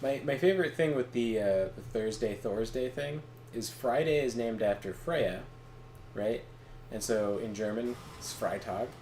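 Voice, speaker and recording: A man co-hosting a game stream, chatting casually in a halting, digressive way as he shares bits of trivia. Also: medium pitch, darkish tone. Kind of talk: casual rambling chat. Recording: echoey room, faint background noise.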